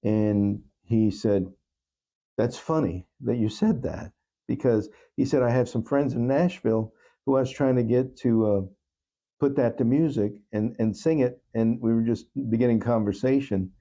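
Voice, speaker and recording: A man speaking as an interview guest, giving earnest, thoughtful answers in a halting, reflective way. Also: medium pitch, mellow. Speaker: talk show guest.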